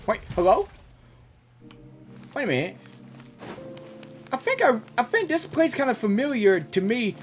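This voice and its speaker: A woman game streamer giving excitable, slangy reaction commentary as she plays. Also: fairly high pitch, unhurried, long pauses, faint music behind. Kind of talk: reaction commentary, reading dialogue aloud.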